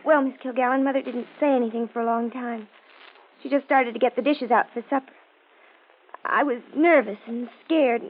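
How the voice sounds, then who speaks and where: A woman's voice: a little high, steady tone, normal speed, close to an everyday voice. An actress playing a lovesick, defiant seventeen-year-old girl in a 1940s American radio crime drama, stammering and repeating her words. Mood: nervous, confessing. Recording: muffled old radio recording.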